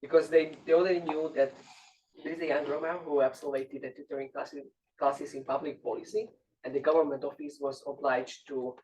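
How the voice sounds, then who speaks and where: A man's voice: a little high, flat delivery, a little mellow. A young man from Slovakia, speaking on a panel with many fillers. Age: young adult. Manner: quick, with filler words and chuckles. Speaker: roundtable panelist. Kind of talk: conversational panel remarks. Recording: distant mic with faint noise.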